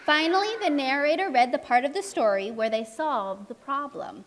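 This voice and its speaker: A woman's voice: high voice, moderate pace. A woman narrating a children's puppet show live, expressively, speaking directly to young children. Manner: expressive, addresses young children with simple questions. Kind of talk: children's storytelling to a live audience. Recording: echoey hall, live show.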